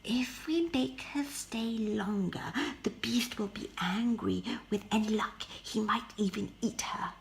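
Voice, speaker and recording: A woman's voice: medium pitch, measured pace, creaky, few pauses, a little hiss or hum. A woman voicing a greedy, scheming young sister in a fairy tale, sly and overdramatic.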